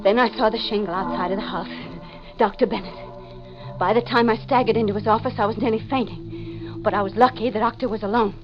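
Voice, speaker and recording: A woman's voice: medium pitch, fairly even intonation, a little fast, lightly acted. An actress playing a frightened, desperate woman who narrates her own mystery in dramatic 1940s radio style. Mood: tense, haunted. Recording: muffled 1940s radio recording. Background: dramatic music.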